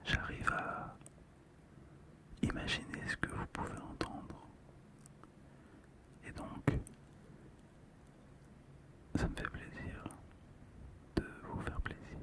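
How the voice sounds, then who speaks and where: An ASMR host speaking in a breathy, unvoiced whisper, gently and soothingly, close to the microphone. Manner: slow, gentle, soothing delivery. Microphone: close ASMR microphone, monitored on headphones.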